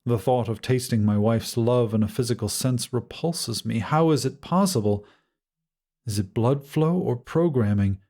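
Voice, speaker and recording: A man narrating first-person fiction in a measured, solemn and dramatic way. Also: mid-range voice, a little mellow. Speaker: audiobook narrator.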